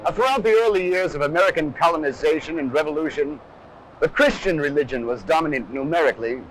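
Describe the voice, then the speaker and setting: A man's voice: on the high side, fast talker, slight background noise, low-quality recording. A man giving scripted, dramatic documentary narration on a harsh, over-modulated old film soundtrack. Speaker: presenter of an army training film.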